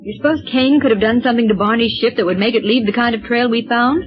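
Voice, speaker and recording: A woman's voice: on the high side, fast, slight background noise, natural voice. A woman playing an alert, thoughtful space adventurer in a 1930s radio serial. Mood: pensive, alert. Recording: muffled 1930s radio recording.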